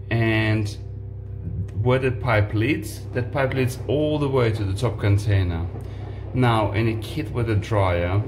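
A man in his forties, speaking slowly with a lowish voice, walks through a home appliance job in a halting, casual way. He films on a phone at arm's length. The small laundry room is echoey, and a washing machine hums clearly behind him. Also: noisy background. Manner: unhurried, halting, with frequent restarts and fillers. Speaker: home appliance video maker. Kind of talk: casual how-to demonstration. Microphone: phone camera on selfie stick at arm's length.

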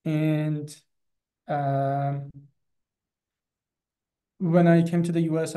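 A man in his thirties with an Iranian accent, giving an earnest, halting personal account as an interview guest on a video call. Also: on the high side, mellow. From Iran. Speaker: animal rights activist and guest. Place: small classroom in front of a chalkboard. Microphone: video call, close to computer.

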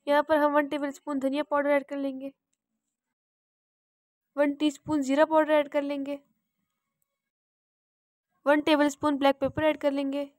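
A woman's voice: high-pitched, a little mellow, no echo, clean studio sound. A woman hosting a cooking channel, narrating a recipe step by step in a plain, repetitive instructional voice-over.

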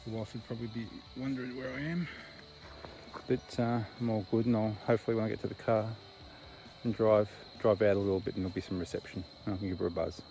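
A man's voice: on the low side, fairly even intonation, fast, mellow, faint music behind, low-quality recording. A man in his thirties with an Australian accent, talking casually to camera while hiking a bush trail at night, out of breath and puffing between phrases. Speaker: hiker vlogger. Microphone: camera held close at arm's length.

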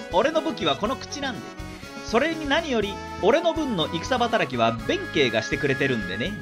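A cheeky, bragging samurai in a radio drama. His pitch is very high for a man and leaps about. Loud music runs under his rousing delivery. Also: very animated, moderate pace. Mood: cheeky, amused. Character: brash boastful samurai who cheers from the rear.

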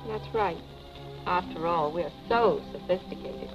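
An actress playing a cool, sardonic young wealthy wife, in dry, arch 1940s Hollywood film style. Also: female voice, lowish voice, measured pace, dull audio, close to an everyday voice. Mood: archly teasing. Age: young adult. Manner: arch, dry, clipped irony. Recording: old film soundtrack, music behind.

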